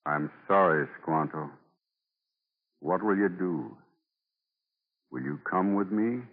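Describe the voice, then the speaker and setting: An actor playing a practical, concerned ship's captain, plain-spoken and coaxing, in a 1940s radio drama. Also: male voice, deep voice, slow pace, phone-quality sound, heavily acted. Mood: sympathetic. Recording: thin 1940s radio broadcast.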